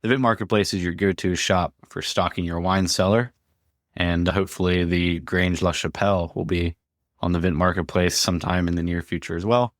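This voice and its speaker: Deep voiced guy co-hosting a podcast, pretty monotone, casual, no room sound. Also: moderate pace, dry recording, clean studio sound. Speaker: podcast co-host. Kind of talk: casual conversational podcast talk.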